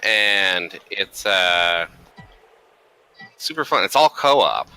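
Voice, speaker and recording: Low, very forceful-voiced man in gaming chat. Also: expressive, drawn-out words, clear, resonant tone. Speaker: gaming group member.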